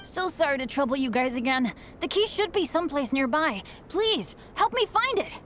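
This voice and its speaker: A woman's voice: high voice, very animated, moderate pace, fairly bright tone, slight background noise. A woman voicing a cheerful, apologetic young male adventurer in a video game cutscene, eager and self-blaming. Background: game music.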